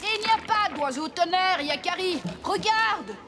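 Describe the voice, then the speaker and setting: A woman's voice: very high-pitched, animated, measured pace. A woman voicing an impulsive, proud, hot-headed young girl in a cartoon dub. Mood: alarmed. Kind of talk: animated series dubbing. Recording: loud music behind the voice.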